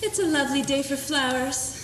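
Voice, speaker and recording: Chirpy, whimsical young woman, played by a young actress, high and lively; distant, roomy live stage recording. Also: brisk, close to an everyday voice. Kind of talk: amateur stage acting. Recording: distant, echoey hall with audience noise.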